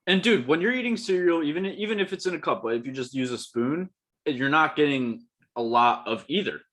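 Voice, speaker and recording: A man's voice: a little high, expressive, brisk, a bit bright. Upbeat young guy. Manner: upbeat, emphatic, slangy. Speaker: podcast co-host. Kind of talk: casual chat.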